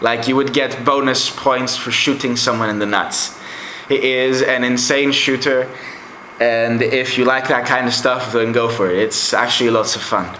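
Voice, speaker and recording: A man's voice: medium pitch, fairly even intonation, normal speed, pushed and bright, a little hiss or hum. A young man whose first language is Greek gives a casual, rambling review of his game collection, with many fillers. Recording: echoey room.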